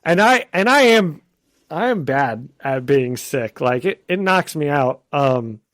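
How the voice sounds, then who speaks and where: A man's voice: fairly high pitch, lively intonation, a little slow, fairly bright tone, no echo, clean studio sound. A man co-hosting a podcast in casual chat, getting over a cold with a slight cough, chatty and laughing often. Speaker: podcast co-host.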